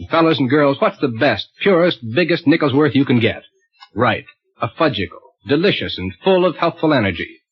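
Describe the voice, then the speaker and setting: 1930s American radio pitchman, raspy male voice, selling to kids, dull archival sound. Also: animated, a little fast. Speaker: radio announcer. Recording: muffled 1930s radio recording.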